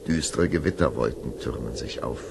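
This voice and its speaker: A man's voice: rumbling low, moderate pace, gravelly. A man narrating a fairy tale in a dramatic storytelling style for an audio play. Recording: noisy old audio-play recording.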